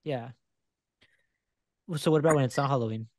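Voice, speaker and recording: A man in his thirties hosting a podcast interview, talking in a relaxed, candid way into a close desk microphone. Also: mid-range voice, fairly quick, no room sound. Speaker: podcast host, photographer. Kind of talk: casual interview. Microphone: headphones and a desk microphone close up.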